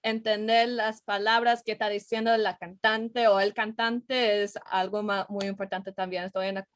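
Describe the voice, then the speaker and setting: A woman's voice: medium pitch, level tone, moderate pace, no room sound, clean studio sound. A young woman student interviewing her teacher, speaking with a learner's slips and restarts. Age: young adult. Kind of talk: class interview.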